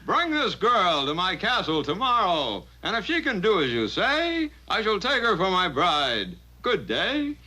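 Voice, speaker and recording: A man voicing a pompous, greedy, excitable king in a comic cartoon, declaiming grandly. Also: high-pitched, unhurried, hardly any pauses, heavily acted, poor audio. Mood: amazed, commanding. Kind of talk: comic cartoon character acting.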